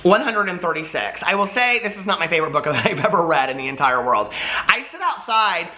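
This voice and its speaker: Bright-voiced chatty American man. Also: sits high, a little fast. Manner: chatty, animated, rambling asides. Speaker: book vlogger. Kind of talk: casual chatty vlog talk.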